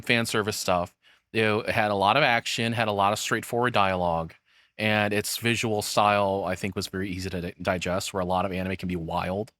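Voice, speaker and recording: Bright, dry-miked man, low-pitched and blunt. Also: dry recording. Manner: rambling, blunt, sweary. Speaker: streamer reacting to a video. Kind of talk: casual opinionated reaction commentary.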